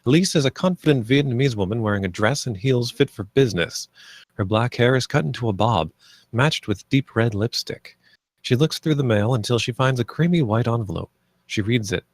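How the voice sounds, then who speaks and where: A man giving scripted narration, reading screenplay stage directions in a plain, measured manner. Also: medium pitch, animated, a little mellow, no room sound.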